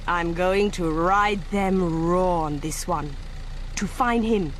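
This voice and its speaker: A woman's voice: mid-range voice, a little hiss or hum, natural voice. A woman playing a scheming, gloating revolutionary leader in a video game cutscene, commanding and full of relish.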